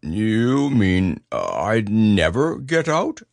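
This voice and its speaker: Man acting as storybook toy bear, very slow drawn-out speech, plaintive, anxious, simple-hearted, dry close sound. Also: fairly low pitch, wildly swooping pitch, creaky, no room sound, exaggerated voice. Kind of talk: children's storybook character reading.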